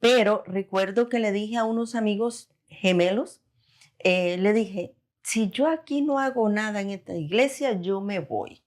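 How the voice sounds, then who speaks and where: A woman's voice: medium pitch, measured pace. A woman in her forties from Costa Rica, recounting her life casually as an interview guest. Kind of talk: casual personal storytelling.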